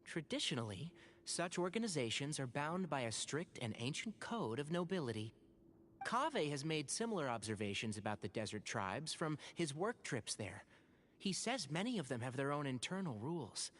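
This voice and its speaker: An actor playing a gentle, thoughtful young scholar in a video game, explaining things in an earnest, articulate and sensible way. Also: male voice, on the high side, sing-song, normal speed, a little hiss or hum.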